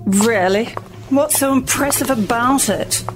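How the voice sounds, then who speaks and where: Woman playing high, sarcastic corporate boss. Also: unhurried, over music, natural voice, rough recording. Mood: impatient. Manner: sardonic, cutting. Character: prickly, sarcastic corporate woman running a space station. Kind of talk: sci-fi audio drama acting.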